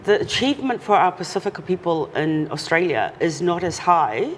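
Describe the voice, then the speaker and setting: A woman's voice: lowish voice, animated, moderate pace. A woman guest talking earnestly and carefully in an interview. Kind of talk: casual interview talk. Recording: long reverb, faint noise.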